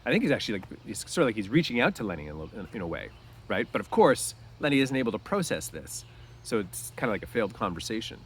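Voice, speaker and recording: A man's voice: fairly low pitch, big pitch swings, fast, slight background noise. A man reading a story aloud and explaining it in a conversational way, with casual asides. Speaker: reader explaining a novel.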